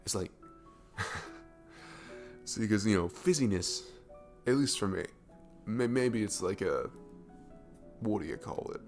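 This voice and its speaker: A man's voice: on the low side, animated, slow, pauses often, faint music behind. A man playing a goofy, affectionate young guy smitten with his best friend, rambling informally in a roleplay audio. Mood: playful, chatty. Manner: rambling, gushing, informal, chuckling between phrases. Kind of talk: romantic ASMR roleplay audio, casual improvised-sounding chat.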